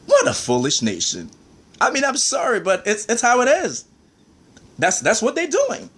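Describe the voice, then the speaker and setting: A man's voice: very high voice, wildly swooping pitch, moderate pace, fairly bright tone, breathy edge. A man ranting in a heated, preachy monologue, peppered with "man" and "I mean".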